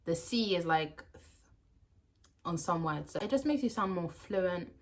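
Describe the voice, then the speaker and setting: A woman's voice: fairly low pitch, a little slow. Chatty young woman with a London accent. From France. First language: French. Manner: chatty and animated, with playful asides. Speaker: language vlogger. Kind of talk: casual tips to camera.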